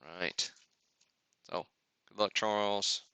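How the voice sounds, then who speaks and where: A man's voice: on the low side, fairly even intonation, slow pace, bright, forceful tone, clear, resonant tone, no echo. A man hosting a live card-opening stream with casual, off-the-cuff commentary and muttered asides to himself. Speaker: host of a sports card break livestream.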